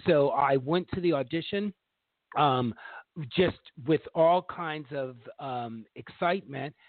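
A chatty man with a slightly high voice tells long, animated stories about his stage work. He sounds squeezed through a narrow, tinny phone line, bone dry with no room around him. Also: takes it slowly, no echo. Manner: animated, long-winded storytelling. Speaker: talk show co-host and stage actor. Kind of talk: casual chat. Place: Los Angeles.

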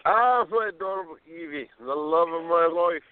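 An actor playing a playful, teasing schoolteacher with a droll, theatrical manner in a radio play. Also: male voice, on the high side, moderate pace, smooth, dry recording, natural voice. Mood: mock romantic. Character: playful bearded schoolteacher, a woman's pretend boyfriend. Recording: narrow phone-like sound.

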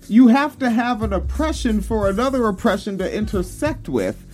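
A man in his thirties with a fairly high-pitched voice, co-hosting a casual reaction podcast with profane, laughing banter. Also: moderate pace, faint music behind. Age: 30s. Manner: animated, profane, laughs often. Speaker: podcast co-host.

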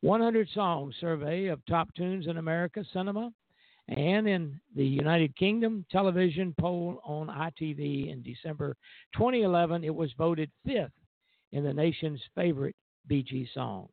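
A man with a fairly high, gravelly voice hosts a music show, reading background notes aloud. His delivery is halting, with small stumbles over the text. The sound is thin and narrow like a phone call, with very little room around it. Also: dry recording. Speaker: radio show host.

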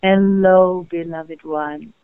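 Tender motherly spirit voiced by a woman, lowered and hushed, slow and loving, thin phone-line sound. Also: steady tone, clean tone, no room sound. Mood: serene tender. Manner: slow, soft, affectionate address. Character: gentle motherly female spirit, serene and tender.

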